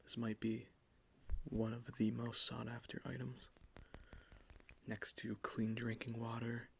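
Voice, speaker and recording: A man speaks softly into a close microphone, sliding between quiet talk and breathy whispers as he plays a salesman in an ASMR roleplay. His voice has a gravelly, rough edge. He stays on a flat, even line, pitching his wares without rising or falling much. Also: slow, slight background noise. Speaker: ASMR creator playing a cologne salesman.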